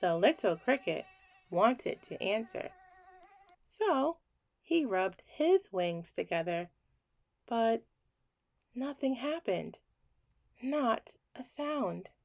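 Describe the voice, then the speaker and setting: A woman reading a children's bedtime story aloud in an expressive, deliberate way with pauses. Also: a little high, creaky. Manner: slow deliberate phrasing with pauses, hushing on refrains.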